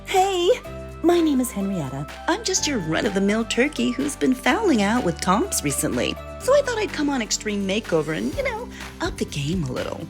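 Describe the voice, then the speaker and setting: A woman's voice: fairly high pitch, huge pitch swings, moderate pace, over music. A woman voicing a naive young female turkey on a makeover show, chatty and easily alarmed. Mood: worried.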